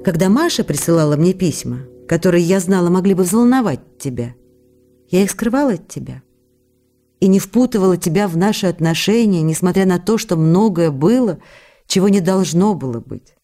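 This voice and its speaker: A woman's voice: lowish voice, animated, moderate pace, warm tone, soft background music. An actress playing a loving, wounded young wife, reading her letters in an intimate, earnest manner in a radio play. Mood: reproachful.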